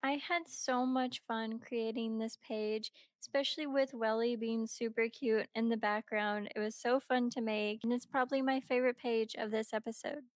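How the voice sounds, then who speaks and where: Gentle young woman narrating art. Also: a little high, steady tone, moderate pace, few pauses, soft background music. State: slightly sick. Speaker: art vlogger. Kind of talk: relaxed art tutorial narration.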